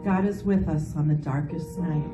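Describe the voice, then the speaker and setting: A woman's voice: deep voice, moderate pace, dark tone. A woman reading a scripted part in a church reader's theater. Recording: echoey hall, distant mic, music behind.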